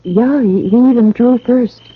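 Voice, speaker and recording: A woman's voice: fairly high pitch, unhurried, slightly rough. An actress playing a troubled, guarded young frontier woman in a radio western, hesitant, defensive and emotional in manner. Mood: nervous, defensive. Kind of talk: 1950s radio western acting. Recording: thin, phone-like vintage radio recording.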